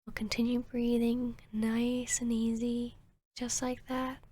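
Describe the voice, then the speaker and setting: A woman playing a calm, soothing vampire therapist in an ASMR roleplay, speaking softly and gently in a breathy, measured, near-whispering way. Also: fairly high pitch. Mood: soothing, slow. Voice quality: breathy, soft. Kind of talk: ASMR roleplay, soft-spoken to the listener.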